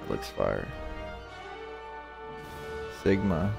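A man's voice: deep voice, sing-song, very slow, vocal fry, a little mellow, soft background music. A young man giving casual, slangy reaction commentary in short laid-back remarks as a game streamer.

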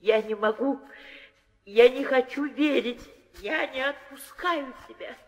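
A woman's voice: high voice, unhurried. An actress playing a distraught, tearful mother in an old theatrical audio drama. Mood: anguished. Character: distraught, wailing mother of a prince. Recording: old radio play, hall reverb.

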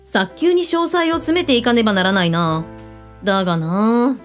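A woman's voice: fairly high pitch, lively intonation, normal speed, a bit bright, faint music behind. An actress in a radio drama playing a breezy, blunt female hotel owner who speaks with authority. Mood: casual, grumbling.

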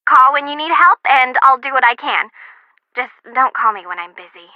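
A cheeky student sidekick in a detective game. Her high, theatrical voice swings widely in pitch, breezy and wry, through a dull phone filter. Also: animated, moderate pace, no echo. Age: young adult. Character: chatty, cheeky young female criminology student sidekick. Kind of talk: video game character acting over the phone. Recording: muffled phone-like sound.

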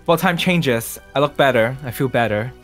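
A smug young villain from the future acted by a man in a Minecraft roleplay. He talks in a halting, drawn-out way, falsely reassuring, at a middle pitch, with music playing behind him. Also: fairly bright tone, lightly acted. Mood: smug, menacing. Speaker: roleplay actor. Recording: background music under voice.

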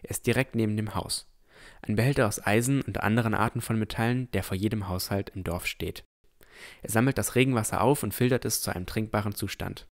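A man playing an earnest, pensive village boy of sixteen, narrating a fantasy audio drama in a reflective, deliberate way. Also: fairly low pitch, close to an everyday voice. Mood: earnest, curious.

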